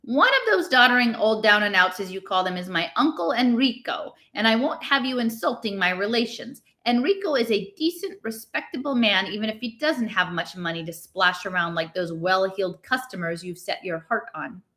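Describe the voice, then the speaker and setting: A fiery storybook innkeeper's wife voiced by a woman reading aloud, bright and cutting. She is brusque and indignant, a woman who snaps and scolds. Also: medium pitch, animated, moderate pace, hardly any pauses. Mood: angry. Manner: brusque, snapping. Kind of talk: expressive storybook read-aloud.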